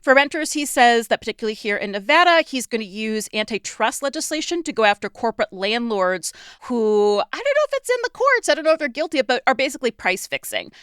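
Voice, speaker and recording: An American woman in her thirties co-hosting a podcast, explaining the news in a casual, animated way with informal asides. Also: on the high side, a little fast, few pauses, clean tone, dry recording, studio quality. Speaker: podcast co-host.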